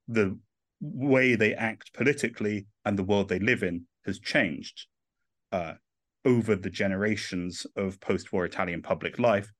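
Low-voiced English man, dry sound. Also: dry recording. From England. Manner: thoughtful, many "uh" fillers. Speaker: author and guest. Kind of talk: podcast interview.

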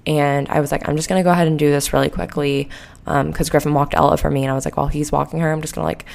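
Hoarse, sleepy young woman rambling. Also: low-pitched, little pitch movement, very fast, darkish tone. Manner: rambling, heavy use of "like" and "um". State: hoarse and sleepy from a late night. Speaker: podcast host. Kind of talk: casual solo podcast talk.

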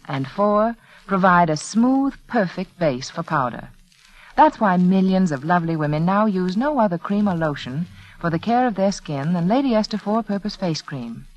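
A woman pitches a product as a 1940s radio spokeswoman. Her intonation swings widely while her diction stays careful and measured. The vintage recording is dull and lo-fi. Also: darkish tone. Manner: measured, persuasive, carefully enunciated. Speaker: beauty spokeswoman in a radio commercial. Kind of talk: 1940s scripted radio advertisement.

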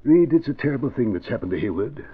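Serious doctor in an old radio drama, rough husky voice, muffled phone-like sound. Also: male voice, mid-range voice, big pitch swings, quick pace. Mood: grave, relieved. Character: earnest, dignified male doctor running a foundation. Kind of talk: 1940s radio acting.

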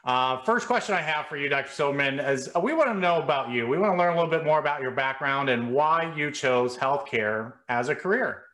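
A man's voice: fairly high pitch, animated, brisk, few pauses, pushed and bright. A man hosting an interview, asking questions in a friendly, conversational way. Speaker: interview host. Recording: echoey room.

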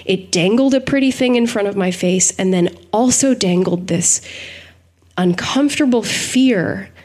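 A woman with a mid-pitched voice speaks as a podcast co-host. She lays out her analysis reflectively, in eloquent and carefully built phrases. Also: unhurried, a little mellow. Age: adult. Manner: articulate, eloquent, thoughtful phrasing.

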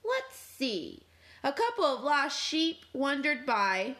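A woman's voice: high voice, big pitch swings, slow, bright, forceful tone, pitched above the performer's own voice, heavily acted. A woman voicing a rambling, chatty talking fork in a picture book.

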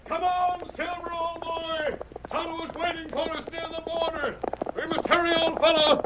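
A man's voice: extremely high pitch, flat delivery, moderate pace, sharp tone, muffled recording. An actor playing a heroic masked cowboy urging on his horse in an old radio western. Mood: urgent, hearty. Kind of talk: 1940s radio western acting.

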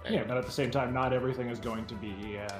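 A man's voice: mid-range voice, steady tone, fast talker, echoey room, music in the background. A man chatting casually as a podcast co-host, enthusiastic and given to swearing. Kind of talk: casual chat.

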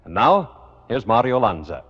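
Male vintage radio announcer: polished, formal, very animated pitch, on a dull old broadcast recording with music underneath. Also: moderate pace, husky. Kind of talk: mid-century American radio announcing, scripted narration and ad read.

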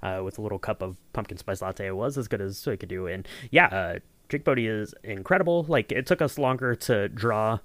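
A man's voice: lowish voice, a little fast, hardly any pauses, a bit bright, dry recording. A man hosting a podcast, explaining things in a casual, conversational way. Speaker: podcast host. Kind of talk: casual behind-the-scenes podcast chat.

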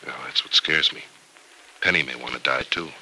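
Very deep-voiced, somber young man. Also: pushed and bright, full character voice. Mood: troubled, somber. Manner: earnest, deliberate, dramatic. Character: somber young man grieving over an injured former fiancée. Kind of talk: old-time radio soap opera acting. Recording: noisy vintage radio drama recording.

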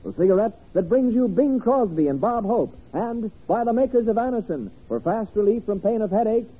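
A man with a husky voice announcing and reading ads emphatically and formally in 1950s radio style. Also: high-pitched, animated, a little fast. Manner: emphatic, formal sales delivery. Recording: tinny, band-limited old radio broadcast.

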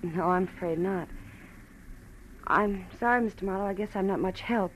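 Casting: woman playing reserved young bar girl, medium pitch, apologetic and halting, old radio sound with faint noise. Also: close to an everyday voice. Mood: guarded, apologetic. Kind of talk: 1940s radio drama acting. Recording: slightly noisy vintage radio recording.

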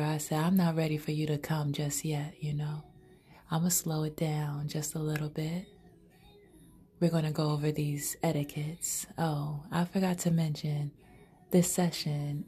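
A woman's voice: low voice, dark tone, slightly rough. A woman hosting her own podcast, improvising erotic storytelling in a sultry, half-whispered, intimate way. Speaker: podcast host.

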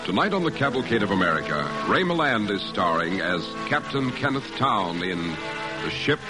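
A man's voice: lowish voice, big pitch swings, moderate pace. An actor as a solemn male announcer reading scripted narration in 1940s radio style. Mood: portentous. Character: solemn radio announcer telling a war story. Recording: vintage radio recording with loud music.

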